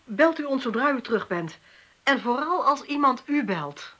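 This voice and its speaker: Bright-voiced, insistent female police inspector. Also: high voice, animated, normal speed. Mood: pointed, firm. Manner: formal, curt, pressing. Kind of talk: Dutch radio play acting.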